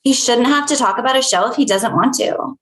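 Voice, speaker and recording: A woman's voice: fairly high pitch, a little fast, close to an everyday voice. A woman playing an earnest, firm academic friend, matter-of-fact, in a comedy script table read. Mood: polite but firm. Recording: echoey room sound.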